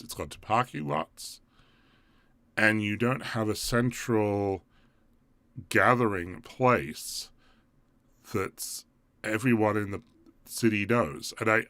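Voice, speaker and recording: A man's voice: lowish voice, a bit bright, no room sound. A man with an English accent hosting a live stream, talking casually and thinking aloud as he goes. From England. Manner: thinks aloud, rambling and conversational.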